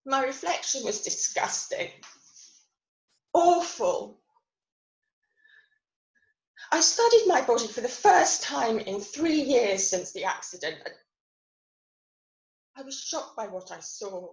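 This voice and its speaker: An actress playing a self-critical, wry and wistful woman recovering from an accident, telling her story in a theatrical monologue with deliberate pauses. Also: on the high side, very animated. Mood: dismayed, self-pitying. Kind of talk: dramatic monologue reading of new writing. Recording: roomy, echoing online recording.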